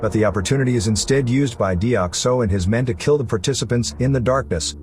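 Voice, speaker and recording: A man's voice: mid-range voice, fast talker, over music. A man reading scripted narration for a story recap video, as its narrator.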